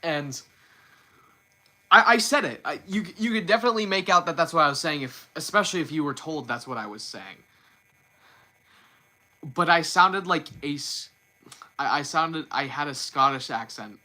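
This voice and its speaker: A man's voice: a little high, animated, normal speed, pauses often, sharp tone. A man hosting a casual livestream and talking off the cuff. He stumbles, restarts his sentences and repeats words often. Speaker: livestream host.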